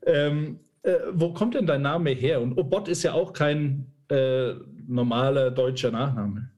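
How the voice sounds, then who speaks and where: A man's voice: medium pitch, measured pace, darkish tone. A man hosting a casual conversational interview in an informal, jokey, somewhat hesitant manner. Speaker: podcast host, interviewer.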